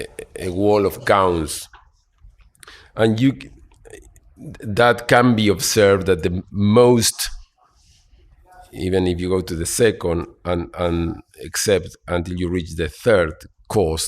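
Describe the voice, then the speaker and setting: A man from Argentina, speaking as a panelist with many fillers and restarts. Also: low voice. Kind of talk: panel talk. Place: conference hall.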